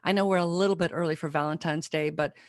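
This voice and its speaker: A woman's voice: sits low, steady tone, fast talker, very noisy. A woman hosting a podcast in a casual, chatty way. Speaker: podcast host.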